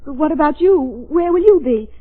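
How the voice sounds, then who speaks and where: A woman's voice: high-pitched, expressive, moderate pace. A woman playing an eager, anxious young companion to a sleuth in old radio drama style, earnest and full of questions. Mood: anxious, pleading. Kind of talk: 1930s-40s radio drama acting. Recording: tinny, band-limited vintage radio recording.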